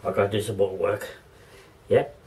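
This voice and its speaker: A middle-aged Australian man with a hoarse voice, chatting casually to camera in a home vlog and laughing often between remarks. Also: lowish voice, flat delivery, normal speed, mellow, a little hiss or hum. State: hoarse, losing his voice. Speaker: vlogger. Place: home, indoors and in a backyard. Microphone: camera mic.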